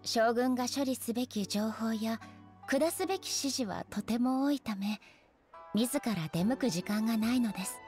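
A high, formal woman's voice for a dignified goddess ruler in an anime game, acted by a voice actress. She is composed, aloof and literal-minded, choosing polite, measured words. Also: a little mellow, soft background music, lightly acted.

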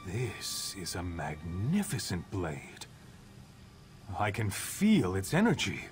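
An actor playing a noble, weary, loyal warrior in a video game, speaking in a solemn, measured, earnest way. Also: male voice, sits low, wildly swooping pitch, full character voice. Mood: solemn, warm farewell. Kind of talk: scripted game character dialogue. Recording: music behind the voice.

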